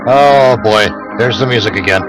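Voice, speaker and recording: A man's voice: mid-range voice, normal speed, over music, rough recording. A man hosting a stream with casual, joking commentary over old radio shows, making dry, crude quips. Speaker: stream host. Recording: radio show and other voices behind. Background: old radio drama playing.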